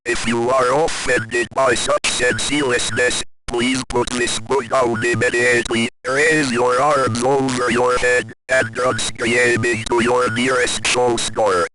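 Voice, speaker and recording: A man playing a deadpan announcer who solemnly reads out a mock warning. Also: medium pitch, slow, few pauses, close to an everyday voice, rough recording. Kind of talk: scripted warning narration. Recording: loud music under the voice.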